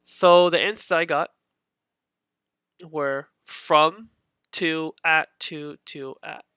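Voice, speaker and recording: A man's voice: fairly high pitch, fairly even intonation, extremely slow, bright, forceful tone, clean tone, dry recording, studio quality. A man teaching a beginner grammar lesson in a casual, patient way, repeating his points with many fillers.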